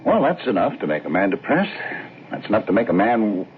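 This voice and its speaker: A man's voice: fairly low pitch, sing-song, a little fast. An actor playing a plain-spoken, easygoing middle-aged American husband and father in a radio drama, folksy and casual. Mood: matter-of-fact. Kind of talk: 1970s radio drama acting. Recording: muffled, narrow-band old radio broadcast, hissy.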